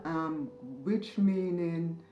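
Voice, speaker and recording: Slow-spoken woman in her sixties. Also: on the low side, steady tone, soft background music. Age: 60s. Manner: slow, searching, with pauses and soft laughs. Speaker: interviewee. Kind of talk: reflective personal storytelling. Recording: roomy, slightly dull recording.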